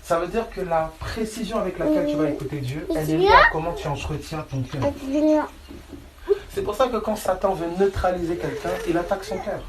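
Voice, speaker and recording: A man's voice: high voice, very animated, fairly quick. A man preaching informally, insistent and colloquial, repeating phrases and often checking that listeners follow. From France. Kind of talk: informal sermon, Bible teaching. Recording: echoey room, clear background noise.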